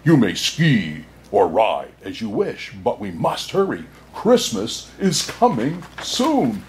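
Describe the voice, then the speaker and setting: A man voicing a large, soothing storybook bear who speaks calmly and deliberately as a kindly guide. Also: fairly low pitch, a little hiss or hum. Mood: soothing, purposeful.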